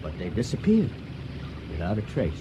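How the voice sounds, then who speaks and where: An actor playing a folksy, slippery younger boat navigator in a radio drama, hearty and over-reassuring. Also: male voice, on the low side, wildly swooping pitch, moderate pace, warm tone, dull audio, a little hiss or hum. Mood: guarded, reassuring.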